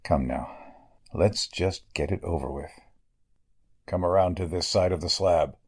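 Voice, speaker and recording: A man's voice: deep voice, darkish tone, husky, full character voice. A man playing a sinister, murderous doctor in a 1940s-style radio drama, speaking in a formal, condescending way. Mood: coaxing threat. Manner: formal, measured, condescending villain speech. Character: sinister murderous male doctor, coolly menacing narcotics dealer.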